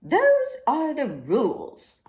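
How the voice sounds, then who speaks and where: A woman's voice: sits high, wildly swooping pitch, takes it slowly, darkish tone, pitched above the performer's own voice, heavily acted. A woman voicing a strict, rule-loving female head librarian from a storybook, in a stern, commanding manner. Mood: stern, demanding. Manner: stern, commanding, clipped phrases. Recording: roomy, echoing room sound.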